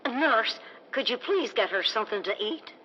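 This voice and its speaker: Distraught mother played by a woman: high, swooping, melodramatic radio acting, heavy noise on the recording. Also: lively intonation, moderate pace, lightly acted. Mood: worried. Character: anxious, distraught mother of a young girl. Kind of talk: old-time radio drama acting. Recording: very noisy lo-fi radio sound.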